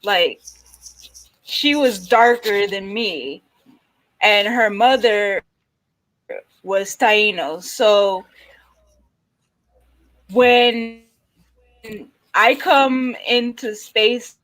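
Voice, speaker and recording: A woman from New York with a New York accent, hosting a casual panel chat and speaking haltingly with long pauses. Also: medium pitch, a bit bright, rough recording. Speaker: panel host.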